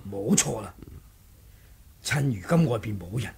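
A man's voice: fairly low pitch, very animated, a little slow, gravelly, breathy edge, exaggerated voice. A man with a Hong Kong accent playing a bold, crafty warrior monk in a Cantonese radio drama, teasing and commanding. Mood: brisk, commanding.

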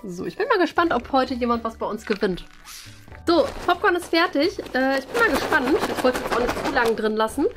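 A young woman with a high voice talks casually as a vlog host. She explains things as she goes in a kitchen, with music playing behind her. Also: sing-song, fairly quick. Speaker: host of a crafts and party vlog. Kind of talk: casual vlog narration and chat. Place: indoor studio kitchen. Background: background music.

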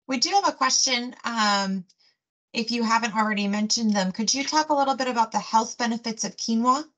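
A woman's voice: fairly high pitch, a little fast, a bit bright, rough recording. A woman off-mic relaying viewer questions to the cook, polite and brief. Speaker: chat moderator.

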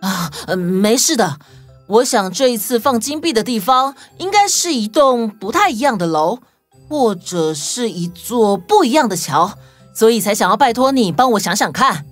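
A woman's voice: a little high, big pitch swings, moderate pace. A woman playing a confident, reassuring young detective hero in a children's audio story. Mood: focused, reassuring. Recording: music bed behind voice.

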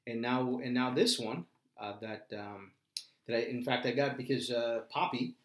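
A middle-aged American man with a medium-pitched voice chats casually in an echoey room. He speaks reflectively and haltingly, often repeating a word before going on. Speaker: co-host, the father. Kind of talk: casual chat. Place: small room indoors, seated at a table.

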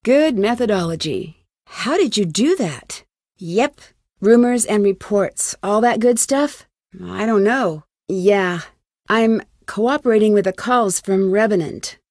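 A woman voicing a young amateur detective in a video game, inquisitive and determined, with a clear, earnest, questioning delivery. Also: medium pitch, animated, slow pace, a little mellow, no room sound, studio quality. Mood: wry, casual. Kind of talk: scripted game dialogue, isolated lines.

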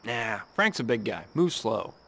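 An actor playing a cocky, friendly young race car in a video game, breezy and boyish, with an American accent. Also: male voice, medium pitch, big pitch swings, measured pace, bright, forceful tone, full character voice.

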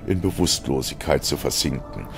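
A man's voice: very deep voice, lively intonation, moderate pace, creaky. A man reading scripted science fiction as a narrator, in a dramatic style. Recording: music behind the narration. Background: music.

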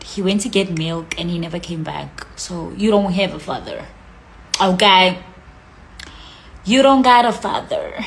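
A woman's voice: medium pitch, vocal fry, a little hiss or hum, poor audio. A young South African woman chatting casually on a phone live stream from a small room, reading out comments with a playful manner that drops into whispers. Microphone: phone at arm's length.

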